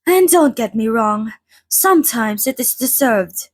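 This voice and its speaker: A woman playing a polite, chatty old vampire being interviewed in an ASMR roleplay, speaking in a slightly formal, gently wry way. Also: a little high, animated, unhurried, no echo. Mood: friendly, courteous. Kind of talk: ASMR roleplay, in-character monologue to listener.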